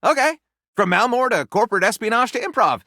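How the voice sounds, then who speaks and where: A man's voice: very high-pitched, big pitch swings, fairly quick, sharp tone, dry recording, clean studio sound, heavily acted. An actor playing a glib male would-be author in a video game, narrating pulp stories with wry, mock-grand theatrical delivery. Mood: theatrical enthusiasm. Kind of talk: scripted game dialogue, comic narration.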